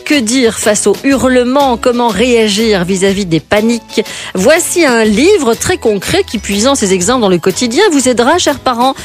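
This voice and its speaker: A woman with a Belgian French accent hosting a radio interview in a studio, quick and lively, laughing easily. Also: fairly high pitch, soft background music. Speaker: radio host. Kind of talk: warm radio interview chat.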